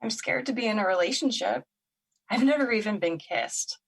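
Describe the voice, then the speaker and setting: An actress plays a wounded young woman in an audio drama. She speaks in a medium-pitched voice, casual and halting. She is wary yet openly candid about herself. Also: lively intonation, creaky, breathy edge. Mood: vulnerable, confessing. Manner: casual, hesitant, slangy.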